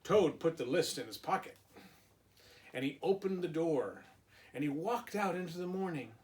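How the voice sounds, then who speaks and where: A man's voice: fairly high pitch, expressive, moderate pace, a little mellow. A man reading a storybook aloud to young children like a teacher, in simple phrases with small asides. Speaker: preschool and kindergarten teacher.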